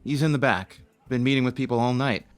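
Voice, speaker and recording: Actor as a bartender over faint music. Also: male voice, medium pitch, quick pace, close to an everyday voice. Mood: friendly, wary. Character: friendly but wary bar owner. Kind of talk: casual audio drama dialogue.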